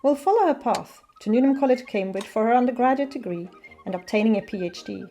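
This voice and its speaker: A woman's voice: a little high, animated, fast, mellow. A woman giving scripted narration for a documentary film. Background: faint music.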